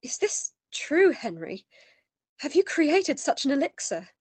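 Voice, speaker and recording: Woman acting a very high-voiced, distraught gentlewoman. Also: very animated, measured pace, no room sound. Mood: troubled questioning. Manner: emotive, questioning, theatrical. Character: earnest, distraught, pleading gentlewoman in love. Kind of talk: radio play acting.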